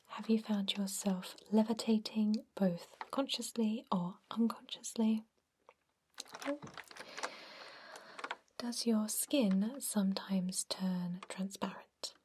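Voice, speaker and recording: A woman playing a friendly, encouraging vampire doctor in a gentle, whispered ASMR medical roleplay, with hesitant pauses. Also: mid-range voice, a little hiss or hum, close to an everyday voice. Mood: gently inquiring.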